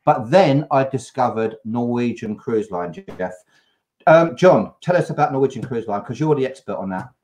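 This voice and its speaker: An older man hosting a live video-call chat, telling a travel story with lively, anecdotal energy. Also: medium pitch, normal speed. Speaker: host. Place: home room, video call.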